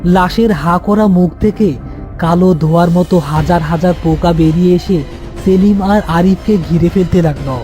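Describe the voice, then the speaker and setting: A man's voice: sits high, normal speed, warm tone, faint music behind. A man narrating a scripted horror story.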